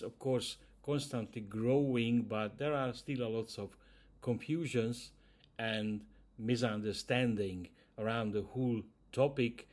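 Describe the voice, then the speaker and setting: A man's voice: medium pitch, a little mellow. A man from Hungary with a Hungarian accent, speaking English as a second language, talking casually to camera as host, with frequent hesitations. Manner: hesitant, many "uh" fillers, non-native grammar. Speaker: YouTube channel host.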